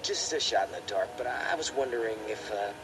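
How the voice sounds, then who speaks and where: A man's voice: on the low side, expressive, fast talker, close to an everyday voice. A man voicing an earnest young male dog, a shy dreamer in love, in an amateur cartoon dub. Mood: awkward, apologetic. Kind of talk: amateur fandub of an animated film. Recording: poor home recording, music behind.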